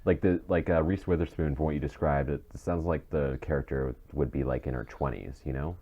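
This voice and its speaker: A man with an extremely low voice chats casually as a podcast co-host. His tone is dark and mellow. The microphone sound is dull and lo-fi, lacking detail. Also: fairly even intonation, fairly quick. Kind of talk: casual chat.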